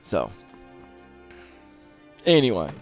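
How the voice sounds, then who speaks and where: A man with a fairly low voice talks his way through a video game in a casual, rambling way. He thinks aloud like a host chatting to viewers, with music playing clearly behind him. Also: wildly swooping pitch, drawn-out words. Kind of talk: casual gameplay commentary.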